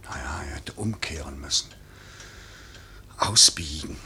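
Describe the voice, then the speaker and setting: An actor playing a stern, idealistic airline director, solemn and declamatory, with a husky voice in a 1950s German radio play. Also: male voice, rumbling low, unhurried, pushed and bright, slight background noise, exaggerated voice. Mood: restrained worry. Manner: declamatory, solemn, clipped authority.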